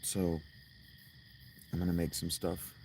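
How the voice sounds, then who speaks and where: A man with a hoarse, strained voice, rambling and swearing as he chats casually with viewers on a livestream outdoors at night. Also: sits low, completely flat delivery, slow pace, darkish tone, a little hiss or hum. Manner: rambling, profane, restarts often.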